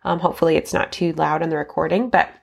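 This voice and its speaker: Low-voiced sick woman, nasal and scratchy. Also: fairly even intonation, quick pace, creaky, husky. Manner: chatty, with many ums and likes. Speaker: podcast host. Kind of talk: casual solo podcast talk.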